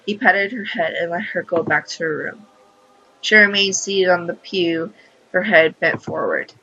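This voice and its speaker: Bright-voiced woman on a lo-fi mic. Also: lowish voice, a little slow, breathy edge. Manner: emotional, exclaiming reactions. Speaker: host of a gaming channel. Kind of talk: visual novel read-aloud with commentary.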